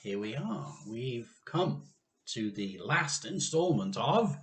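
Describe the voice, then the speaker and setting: A British man narrates a children's storybook like a teacher reading to pupils. His delivery is deliberate and dramatic, unhurried yet very lively, with a bright, forceful tone. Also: mid-range voice.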